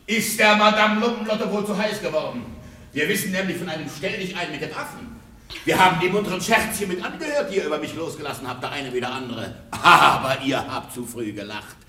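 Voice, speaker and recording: An actor playing a jealous, blustering rich peasant husband in a radio play, sarcastic and mock-polite in theatrical style. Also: male voice, a little high, lively intonation, a little fast, sharp tone. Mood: gloating, mocking.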